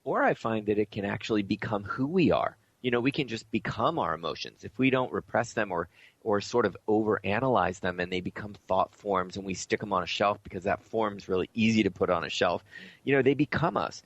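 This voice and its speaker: A man's voice: lowish voice, animated, a little fast. A man hosting a conversational spiritual talk show, speaking in an informal, earnest and reflective way. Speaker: radio show host. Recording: dull lo-fi audio.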